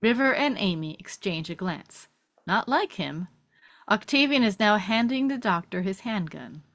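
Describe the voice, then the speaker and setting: A woman's voice: medium pitch, expressive, a little slow, a bit bright. A woman narrating and hosting a script table read, moving between read stage directions and casual chat.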